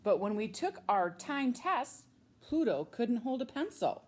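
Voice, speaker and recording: A woman with an American accent, a teacher reading a storybook aloud to children with expressive, drawn-out emphasis on key words. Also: fairly high pitch, slight background noise. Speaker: middle school science teacher reading a children's book.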